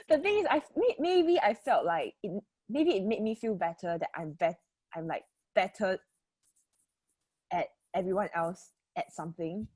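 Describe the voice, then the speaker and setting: A woman's voice: medium pitch, animated, a little slow, darkish tone, slightly rough. Playful young Malaysian woman host. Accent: Malaysian English. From Johor Bahru, Malaysia. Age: 20s. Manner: playful, teasing, laughs a lot. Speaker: podcast host. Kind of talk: casual chat.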